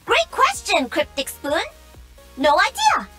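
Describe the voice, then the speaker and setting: A woman's voice: extremely high pitch, wildly swooping pitch, slow pace, bright, forceful tone, soft background music, exaggerated voice. An actress voicing a cocky young female gamer mech pilot in a game, with a sassy, teasing, bubbly delivery. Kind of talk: game voice lines.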